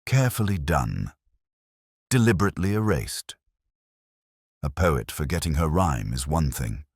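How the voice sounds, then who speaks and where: A man narrating first-person detective fiction in a measured, literary, brooding manner. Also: extremely low pitch, sing-song, slightly rough, no room sound, clean studio sound. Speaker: audiobook-style narrator.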